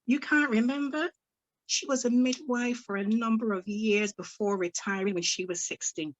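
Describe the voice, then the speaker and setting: A woman voicing a hot-tempered older Barbadian mother with a Barbadian accent, firing rapid, exasperated questions full of old-fashioned sayings. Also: a little high, fairly even intonation, lo-fi sound, natural voice. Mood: gossiping.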